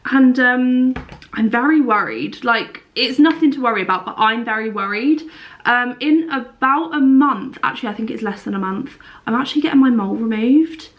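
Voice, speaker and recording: A young woman with a slightly high voice talks to her viewers in a chatty, rambling way. She sounds stuffed up and sniffly from a cold, as she chats casually at home. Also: moderate pace, highs cut off. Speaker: lifestyle vlogger. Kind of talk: casual vlog chat to camera.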